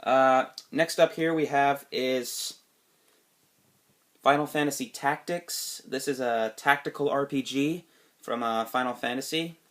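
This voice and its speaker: A man's voice: medium pitch, fairly even intonation, measured pace, fairly bright tone. A man recovering from a cold, sniffly, casually talking through his game collection with lots of fillers and restarts. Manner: rambling, many fillers and restarts. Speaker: video game collector vlogger. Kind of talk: casual video review.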